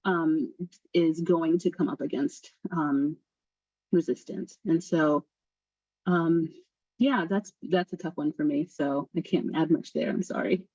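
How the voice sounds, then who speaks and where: Husky woman, hesitant and thoughtful. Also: low-pitched, fairly even intonation, a little slow, dark tone, no room sound. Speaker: panelist. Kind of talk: reflective panel discussion. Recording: dull lo-fi sound.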